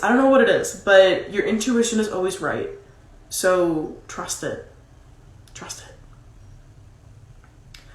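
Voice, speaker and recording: A woman with a nasal, congested voice from a cold gives casual, emphatic motivational advice, repeating key phrases for stress. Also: on the low side. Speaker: motivational coach. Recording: roomy, echoing sound.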